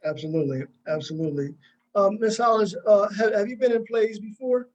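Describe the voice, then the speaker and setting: A man's voice: sits high, brisk, a little mellow, highs cut off. A man hosting a casual interview in a supportive, encouraging way, often repeating himself. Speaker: host of cast interview.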